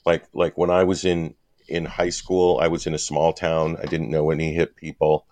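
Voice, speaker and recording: Very deep-voiced man in his fifties. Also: flat delivery, normal speed, darkish tone, no room sound. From Wisconsin. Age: 50s. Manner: rambling, with many fillers and restarts. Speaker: guest cartoonist. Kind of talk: casual podcast conversation.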